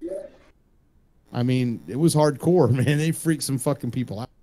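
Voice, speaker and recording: A man with a dark, relaxed voice at an average pitch. He chats casually as a show host, joking, rambling and swearing as he goes. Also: moderate pace. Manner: profane, joking, rambling, repeats phrases for emphasis. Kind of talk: casual group chat.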